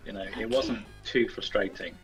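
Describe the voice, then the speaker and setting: A man's voice: fairly low pitch, moderate pace. A man speaking as a guest game developer, chatting casually. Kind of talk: casual chat. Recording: distant mic with music underneath. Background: music.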